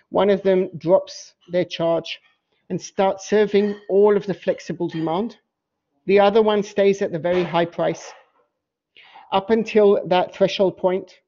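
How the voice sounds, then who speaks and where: Woman lecturer, mellow voice, dull audio. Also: fairly low pitch. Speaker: professor giving a research lecture. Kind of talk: academic lecture explaining slides.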